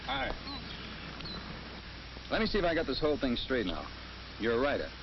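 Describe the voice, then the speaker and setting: A man's voice: mid-range voice, wildly swooping pitch, normal speed, creaky. An actor playing a plain-spoken, good-natured bread delivery man in a 1950s training film, folksy and matter-of-fact. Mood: affable. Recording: muffled, noisy 1950s film soundtrack.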